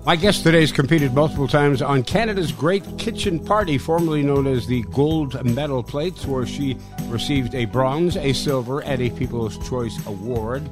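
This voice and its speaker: A man hosting a podcast interview, asking questions with frequent halting restarts. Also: medium pitch, over music. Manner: halting, repeats words. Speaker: podcast host.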